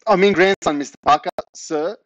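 Anxious storybook boy, played by a man: high, squeaky, spluttering and polite, recorded slightly dull. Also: big pitch swings, unhurried, husky, no room sound, full character voice. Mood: panicked. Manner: spluttering, squeaky when nervous.

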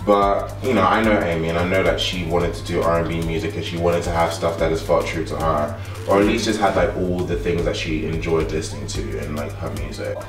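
A young man talks in a laid-back, rambling way, full of fillers. His low voice sounds a little distant. The kitchen has a roomy echo, with faint music behind him. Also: steady tone, fairly quick. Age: young adult. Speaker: guest, music producer. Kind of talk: casual chat. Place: home kitchen. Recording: echoey kitchen, mic at a distance.

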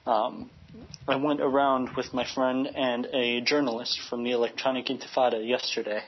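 A man's voice: medium pitch, flat delivery, moderate pace, lo-fi sound, a little hiss or hum. A man from Chicago speaking earnestly as a radio interview guest, often restarting his phrases as he searches for words. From United States, Chicago.

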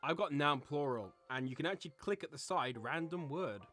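A woman's voice: sits low, lively intonation, fairly quick, a bit bright, faint music behind. A woman hosting a casual word game with friends, bantering as she goes. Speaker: host. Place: online voice call.

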